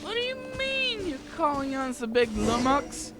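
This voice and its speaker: A woman's voice: high-pitched, sing-song, normal speed. A woman doing casual let's play commentary, reacting aloud in a playful way. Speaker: gaming streamer. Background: game music.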